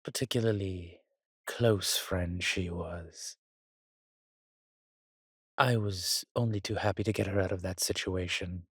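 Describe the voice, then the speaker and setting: Man acting a slow, husky, roguish old vampire. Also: sits low, steady tone, no echo, studio quality, heavily acted. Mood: amused, nostalgic. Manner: theatrical, archaic turns of phrase, teasing. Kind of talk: intimate roleplay audio drama.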